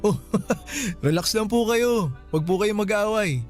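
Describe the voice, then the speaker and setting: Casting: courteous young Filipino guard played by a man, slightly high voice, considerate, radio drama over music. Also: unhurried, darkish tone. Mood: kindly. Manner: polite, considerate. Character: kind, respectful young male Filipino bank guard.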